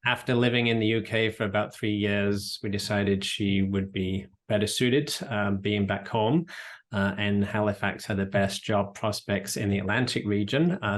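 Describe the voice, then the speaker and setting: A middle-aged man from the United Kingdom, explaining things in a measured way during an interview. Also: fairly low pitch, a bit bright. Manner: measured, explanatory, frequent restarts. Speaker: president and CEO of an economic council. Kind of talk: podcast interview answers.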